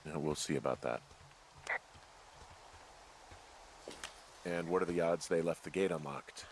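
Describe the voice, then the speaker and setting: A man's voice: extremely low pitch, fairly quick, slight background noise, low-quality recording. An actor playing a sarcastic, suspicious everyman fire lookout in a video game, with an American accent and a plainspoken, naturalistic delivery. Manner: sardonic, plainspoken.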